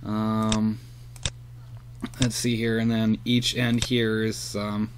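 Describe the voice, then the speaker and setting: Slow, rambling man with a handheld camera. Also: fairly low pitch, completely flat delivery, slight background noise. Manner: rambling, hesitant with many fillers. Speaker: hobbyist vlogger. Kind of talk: casual show-and-tell. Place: outdoors in a front yard, then at a computer.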